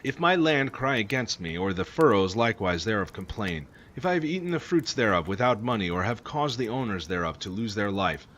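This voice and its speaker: A man's voice: on the low side, sing-song, fairly quick, hardly any pauses, a bit bright. A man reading old scripture aloud in a solemn, declamatory manner. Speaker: amateur Bible reader. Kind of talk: reading scripture aloud, King James Version. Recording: clearly noisy recording with ambience.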